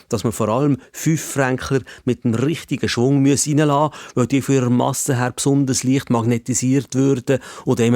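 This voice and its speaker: A man's voice: medium pitch, darkish tone, dry recording, studio quality. A man with a Swiss German accent telling a children's story in the first person.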